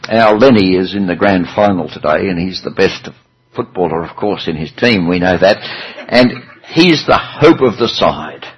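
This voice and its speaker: A man with a low, gravelly and husky voice preaching a chatty sermon, with dry self-deprecating humor. The sound is dull and lo-fi, as if recorded in a church hall.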